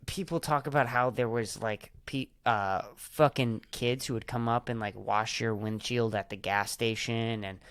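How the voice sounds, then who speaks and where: A man's voice: medium pitch, steady tone, normal speed, few pauses, a bit bright, clear, resonant tone, no echo. A young man co-hosting a podcast in loose, rambling casual chat, full of fillers and swearing. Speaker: podcast co-host.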